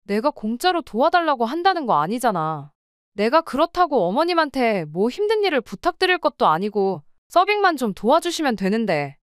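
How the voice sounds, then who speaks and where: A woman's voice: fairly high pitch, very animated, moderate pace, smooth, dry recording, studio quality. A woman playing an exasperated wife who runs a restaurant, arguing with her husband and in-laws. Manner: pleading, then sharply arguing. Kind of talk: radio drama acting.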